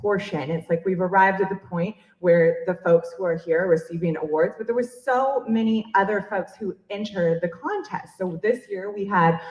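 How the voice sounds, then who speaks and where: A woman's voice: medium pitch, normal speed, hardly any pauses, darkish tone, lo-fi sound. A woman hosting a live event off the cuff, speaking in an effusive, encouraging way with emphatic phrases. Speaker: emcee of an awards event. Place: public library event room, in front of an audience. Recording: echoey live event room.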